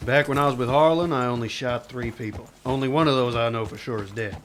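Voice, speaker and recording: A man's voice: mid-range voice, fairly even intonation, fast talker, fairly bright tone, slight background noise, natural voice. A man playing a terse ex-outlaw lawman. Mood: guarded, dry. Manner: terse, dry and guarded. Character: guarded, weary male ex-outlaw sheriff. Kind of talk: radio drama acting.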